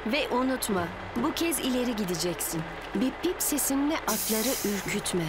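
A woman acts a young female cartoon builder. Her high voice is encouraging and instructive. Loud music runs behind her. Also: animated, normal speed, close to an everyday voice. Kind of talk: animated cartoon dub acting.

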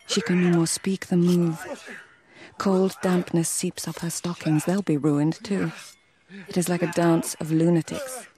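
Low-voiced, poised court lady, played by a woman. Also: fairly even intonation, a little slow, lightly acted. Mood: tense, inward. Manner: cool, restrained, often hushed. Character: poised, proud, independent court lady and diarist. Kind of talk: radio drama dialogue and literary narration.

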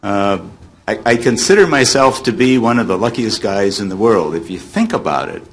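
A man's voice: on the low side, lively intonation, normal speed. An American man in his seventies, reminiscing casually as a guest on a panel. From United States, Illinois. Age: 70s. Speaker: guest panelist, former child radio actor. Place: hotel meeting room at a convention. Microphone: table microphone on a stand.

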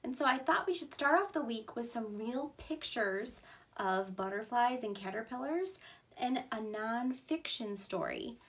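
A woman in her thirties speaks in a fairly high voice with a noticeable creak. She reads aloud like a preschool teacher, keeping her phrases simple and stressing key words for small children. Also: lively intonation, a little slow, a bit bright. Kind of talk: read-aloud for young children.

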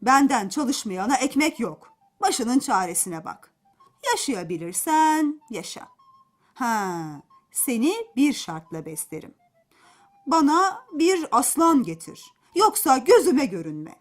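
A woman's voice: high voice, big pitch swings, takes it slowly, soft background music, heavily acted. A woman playing a heartless, curt master giving orders in a children's folk tale. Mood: scornful, commanding. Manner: curt, commanding. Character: heartless, stern male master dismissing his old horse.

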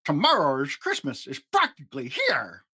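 A man's voice: fairly high pitch, wildly swooping pitch, normal speed, pushed and bright, husky, heavily acted. A man voicing a sour, scheming old storybook creature with a snarling, sneering manner. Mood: resentful, sneering. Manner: snarling, growling, hissing sneer.

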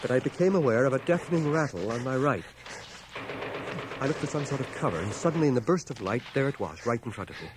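Actor as reflective war veteran, noisy recording. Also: male voice, medium pitch, lively intonation, quick pace, lightly acted. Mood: grave, tense. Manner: literary, sober storytelling. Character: reflective male war veteran recounting battle memories. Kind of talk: dramatized first-person narration, radio drama. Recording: noisy cassette of 1980 radio drama.

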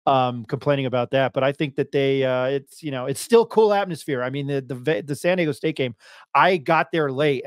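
A man's voice: medium pitch, no room sound. A middle-aged man hosting a casual sports podcast with irreverent, slangy banter, speaking close on a podcast mic. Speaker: podcast host. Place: home studio, indoors. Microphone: close podcast mic on boom arm.

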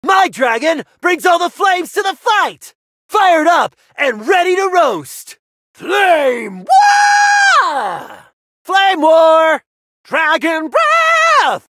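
An actor voicing a brash heavy-metal dragon-riding knight in a video game, with a bombastic, theatrical delivery and drawn-out battle cries. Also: extremely high pitch, wildly swooping pitch, pushed and bright, dry recording, shouting, studio quality, full character voice. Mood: fierce, fired up.

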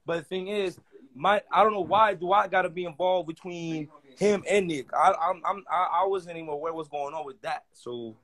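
A man's voice: sits high, normal speed, fairly bright tone. A man in his twenties chatting as a guest on a phone livestream, slangy and insistent, stumbling over repeated restarts. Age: 20s. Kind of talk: casual chat. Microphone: phone front camera.

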